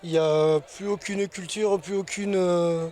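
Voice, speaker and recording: A man giving a casual interview about a festival campsite, talking with many pauses and restarts. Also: high voice, fairly even intonation, warm tone. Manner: hesitant, many fillers and restarts.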